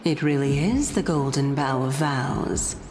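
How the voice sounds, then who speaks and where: Game role: teasing female sage, theatrical and lofty, leisurely pace, lively intonation, music behind. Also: a bass voice, slight background noise. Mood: coy, playful. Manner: coy, condescending, theatrical, unhurried. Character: teasing, enigmatic adult woman sage, leader of seven. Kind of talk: video game voice acting. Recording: long hall-like echo, music underneath.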